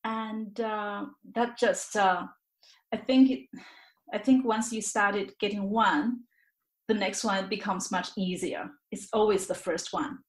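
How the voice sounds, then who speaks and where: A woman's voice: medium pitch, steady tone, slow pace. A woman speaking as an interview guest, reflective and candid, often repeating words and phrases as she searches for the right ones. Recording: roomy, echoey sound.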